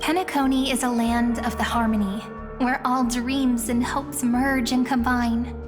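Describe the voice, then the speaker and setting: A woman playing a gentle, earnest young guide in a video game, explaining things in a measured way. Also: fairly high pitch, steady tone, lightly acted. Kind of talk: video game story dialogue. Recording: background music under the voice.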